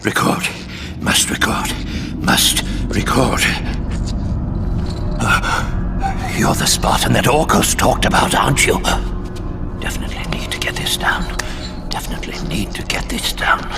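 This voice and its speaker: Crazed, croaky, swooping prisoner scribe. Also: male voice, medium pitch, wildly swooping pitch, measured pace, long pauses, over loud music, full character voice. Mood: frantic, distracted. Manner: muttering, repetitive, giggling, erratic. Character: half-mad old male prisoner obsessively scribing a record. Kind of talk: dramatic video game acting.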